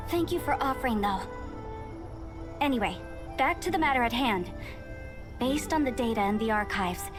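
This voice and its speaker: A woman's voice: fairly high pitch, lightly acted. A woman playing an earnest, dutiful young researcher in a video game, formal and polite as she explains things. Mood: studious, polite. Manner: formal, expository, apologetic. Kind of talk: scripted video game dialogue. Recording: music behind the voice.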